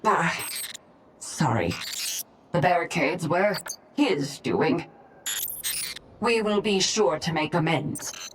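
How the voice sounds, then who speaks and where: A woman's voice: low-pitched, very animated, slow, pauses often, heavily acted. A woman playing a composed, polite but firm minder in a video game, speaking with control. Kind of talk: video game cutscene dialogue. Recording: clear noise behind the voice.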